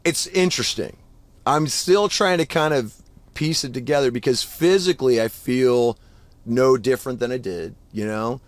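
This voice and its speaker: A man of about fifty, a guest musician, chatting casually on a podcast and telling animated stories full of swearing. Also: mid-range voice, normal speed, no echo. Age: 50s. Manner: profane, animated storytelling.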